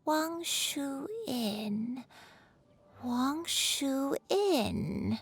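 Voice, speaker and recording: A woman playing a gentle, confused elderly granny in a video game, halting and wistful, with a husky voice. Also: sits high, animated, full character voice. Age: older. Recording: faint music behind.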